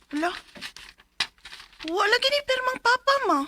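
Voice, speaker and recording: Earnest, high-voiced young Cebuano daughter. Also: female voice, lively intonation, unhurried, heavy background noise. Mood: concerned. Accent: Cebuano Philippine. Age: young adult. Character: earnest young Filipina daughter seeking work. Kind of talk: 1990s Bisaya radio drama acting, narrating her story.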